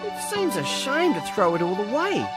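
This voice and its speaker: A woman's voice: mid-range voice, wildly swooping pitch, normal speed. A woman voicing Max, a cheerful young farm boy puppet in a children's show. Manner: bright, enthusiastic and upbeat. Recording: studio voice over music.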